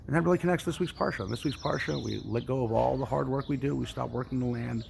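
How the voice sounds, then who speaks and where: A man's voice: mid-range voice, fast talker, gravelly, background noise. A man telling religious stories to young people as a teacher, casual and colloquial, acting out his examples. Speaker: youth program storyteller and teacher. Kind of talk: animated religious storytelling for children.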